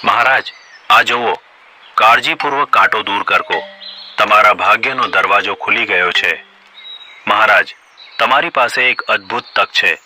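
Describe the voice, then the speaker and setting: A man's voice: lowish voice, measured pace, pauses often, pushed and bright, a little hiss or hum. A man voicing a clever, polite donkey who speaks deferentially and coaxingly in a children's cartoon story. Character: clever, polite male donkey pleading with a lion king. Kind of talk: animated children's story voice acting.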